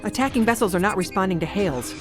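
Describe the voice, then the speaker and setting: A woman's voice: medium pitch, expressive, quick pace, music in the background, natural voice. A woman playing a cool, logical starship science officer, precise and formal, in an audio drama. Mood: precise, reporting.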